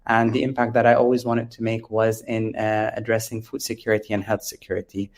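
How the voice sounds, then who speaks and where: The Lebanese-accented male founder you would hear as a guest on an industry interview, hesitant, low and level in pitch. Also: level tone. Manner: hesitant, halting, with frequent long ums. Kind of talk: explanatory interview answers.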